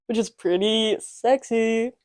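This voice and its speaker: A man's voice: very high-pitched, fairly even intonation, takes it slowly, dry recording, studio quality. A young man in his late teens, co-hosting a casual podcast from a student apartment, joking and teasing with lots of fillers. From England and Denmark. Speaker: podcast co-host. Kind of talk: casual chat.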